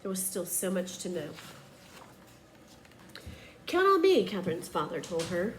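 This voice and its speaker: A woman's voice: fairly low pitch, big pitch swings, unhurried. Woman reading aloud, slight background noise. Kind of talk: children's picture book read-aloud.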